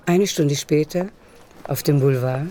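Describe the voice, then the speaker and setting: Mellow low-voiced woman narrator. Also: measured pace, a little hiss or hum. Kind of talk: scripted literary narration for radio drama.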